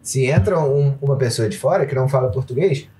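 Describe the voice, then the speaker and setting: Brief: young Brazilian male host, chatty and prompting, desk mic with headphones in a living room, mid pitch. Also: a little fast, dark tone. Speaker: podcast host. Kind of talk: casual chat. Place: home living room at a table. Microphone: desk mic on stand, wears headphones.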